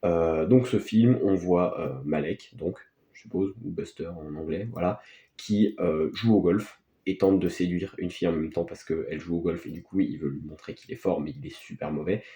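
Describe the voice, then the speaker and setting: A man's voice: low voice, normal speed, warm tone. A man gives casual, unscripted film commentary as a video host, in a hesitant, rambling, offhand way. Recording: echoey, roomy sound.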